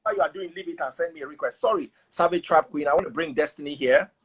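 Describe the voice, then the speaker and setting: A man hosting a live audio chat room, briskly calling guests onto the line. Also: on the high side, slightly rough. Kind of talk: casual live moderating.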